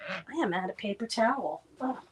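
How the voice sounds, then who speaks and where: Woman, congested, rambling with lots of ums, casual live stream from a home workshop, mid-pitched. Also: expressive, normal speed, noisy background. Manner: chatty and rambling with frequent ums. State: congested from a cold. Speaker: craft seller making herbal oil products. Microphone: streaming camera mic.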